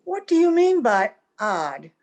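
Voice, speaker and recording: A woman's voice: on the high side, sing-song, slow pace, a little mellow, heavily acted. A woman playing a youthful, sweet, earnest wife in old-time radio drama, with a theatrical delivery. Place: at home, recorded over video call.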